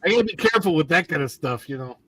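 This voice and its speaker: A joking man with a slightly high, husky voice banters with the panel. His tone bounces up and down, with laughs between remarks. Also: animated, racing, fairly bright tone, no room sound. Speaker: podcast panelist. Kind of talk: casual banter.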